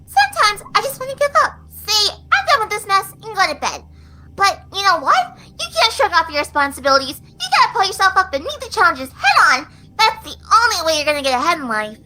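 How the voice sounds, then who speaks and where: An extremely high-voiced, giddy cartoon girl pony for a horror story. Her pitch leaps around in a perky singsong, and she sounds chummy while being gleefully cruel. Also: big pitch swings, fairly quick, pushed and bright, heavily acted, low-quality recording. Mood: chummy, lecturing. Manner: perky, singsong, mock-friendly. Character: gleefully sadistic, giggly young female cartoon party pony.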